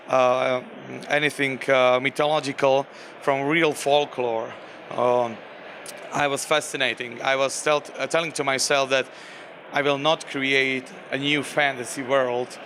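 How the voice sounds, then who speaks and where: Slovak man with a flat, halting voice. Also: medium pitch, slight background noise. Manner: halting, many fillers and restarts. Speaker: game designer. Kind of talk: convention interview.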